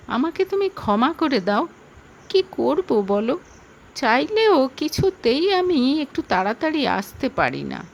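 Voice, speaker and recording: A woman voicing an earnest police officer husband in an audio story, with a measured manner. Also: high voice, a little hiss or hum, full character voice, low-quality recording.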